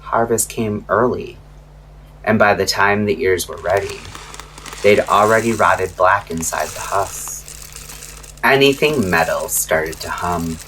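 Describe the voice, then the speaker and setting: A man hosting a late-night radio horror show, narrating a scripted story in a measured, ominous way with dramatic pauses. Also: deep voice, a bit bright, a little hiss or hum, rough recording. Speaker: radio-style host and storyteller.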